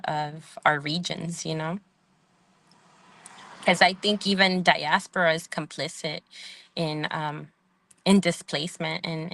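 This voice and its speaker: Gravelly low-voiced woman, thoughtful guest. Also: a bit bright, dry recording. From Salvadoran family, living in the United States. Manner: thoughtful, frequent "um" and "right?" tags. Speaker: guest, community organizer and professor. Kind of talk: podcast interview.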